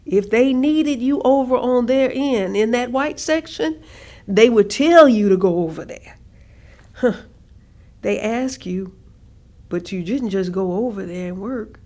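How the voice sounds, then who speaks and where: An actress playing an older woman, recalling hard working years in a measured, reflective staged reading. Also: fairly high pitch, darkish tone. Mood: matter-of-fact recollection. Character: older woman, former hospital worker, plainly recalling segregation-era hardship. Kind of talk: staged reading of oral history.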